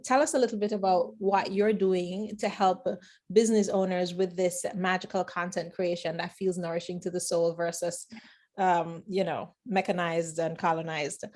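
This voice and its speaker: A woman hosting an interview, thoughtful and hesitant, often pausing with fillers as she shapes her ideas. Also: on the low side. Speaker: host. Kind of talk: interview conversation.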